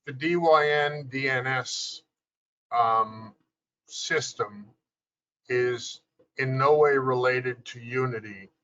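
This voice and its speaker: A man on a video call giving measured technical explanations, with frequent fillers. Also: mid-range voice, pushed and bright. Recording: dull lo-fi video call audio.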